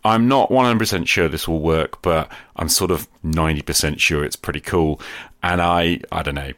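A man's voice: very deep voice, normal speed, a bit bright, dry recording. A man hosting a podcast, chatting in a casual, off-the-cuff way. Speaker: podcast host and player.

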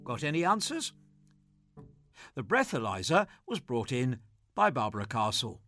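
Animated male presenter over guitar music. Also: medium pitch, moderate pace. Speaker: magazine programme presenter. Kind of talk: scripted quiz and narration.

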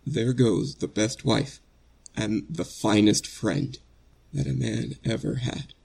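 A man acting anguished condemned husband, husky creaky voice, halting and stammering, earnest, old-time radio drama. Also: medium pitch, fairly even intonation, darkish tone, heavily acted. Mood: sad, tender. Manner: halting, stammering under emotion.

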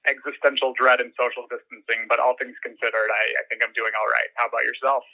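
A man's voice: mid-range voice, level tone, fast talker, creaky. Man on dull, lo-fi call audio. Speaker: interview guest, journalist and political commentator. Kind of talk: casual conversational interview.

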